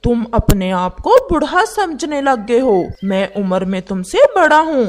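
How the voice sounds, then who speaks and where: A woman's voice: on the high side, sing-song, a little slow. A woman voicing an arrogant, rich old male crow who sneers at the poor and boasts he never ages. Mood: bossy. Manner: scornful, brags about wealth, denies getting old. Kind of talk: Hindi cartoon voice acting.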